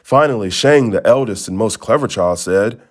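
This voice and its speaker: A man reading a children's storybook aloud in expressive narration. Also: on the low side, normal speed. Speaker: storybook reader.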